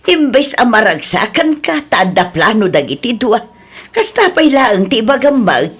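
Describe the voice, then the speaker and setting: A woman's voice: on the high side, big pitch swings, a little slow, breathy edge, heavily acted. An actress playing a sensible, frank neighbor woman in a radio drama, chiding her friend.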